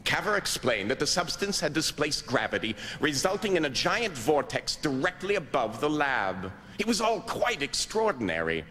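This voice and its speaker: An actor playing an eager, greedy gentleman adventurer in a radio drama, exclamatory and old-fashioned in his phrasing. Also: fairly high pitch, very animated, normal speed, few pauses, slight background noise. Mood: narrating. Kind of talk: radio drama acting and narration.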